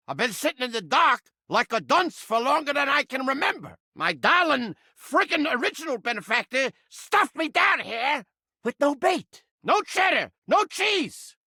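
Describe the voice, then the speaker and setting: A brash, cranky talking rat trap from a comedic video game, with a thick-skinned Brooklyn street-tough manner and accent. The voice is sharp and forceful and swings wildly up and down. It is recorded close and dry, and every line is theatrical and wisecracking. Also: male voice, very high-pitched, no room sound, full character voice. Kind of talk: exaggerated comedic video game character acting.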